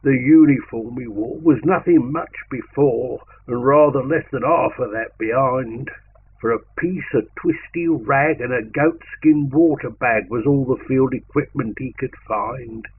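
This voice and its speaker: A man giving a theatrical, measured poetry recitation in a colloquial soldier's vernacular. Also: mid-range voice. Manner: theatrical, measured, with dropped-grammar colloquial delivery. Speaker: poetry reader. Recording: narrow tinny sound, faint noise.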